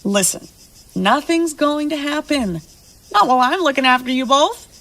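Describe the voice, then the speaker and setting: A woman's voice: high voice, expressive, moderate pace, a bit bright. A woman acting in an audio drama as a stubborn, protective, bossy rancher boss after the apocalypse. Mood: reassuring, wry.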